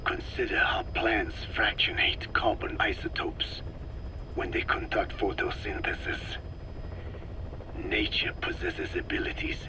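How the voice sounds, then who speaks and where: Man acting a slow, solemn old scientist. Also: fairly low pitch, steady tone, noisy background, lightly acted. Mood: measured, lecturing. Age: older. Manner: solemn, deliberate, formal lecturing. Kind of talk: video game cutscene acting.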